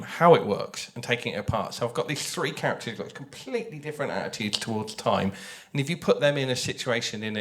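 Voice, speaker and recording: Male panel speaker, mid-pitched, thoughtful and explanatory, conversational, repeats phrases for emphasis. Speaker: audio drama writer on a festival panel.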